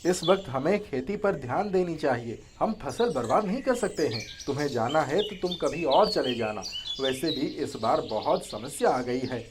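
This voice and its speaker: A kindly elder-brother farmer from a moral tale, played by an actor. He advises gently and patiently in a measured, quieter voice, with faint birdsong behind. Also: mid-range voice, lively intonation, background noise, close to an everyday voice. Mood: calm, patient.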